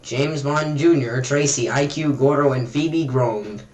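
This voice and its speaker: A man narrates a story in an amateur dramatic reading. His pitch sits in a middle range and he moves through the text at a slow pace. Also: echoey room. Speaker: narrator and reader of fan fiction.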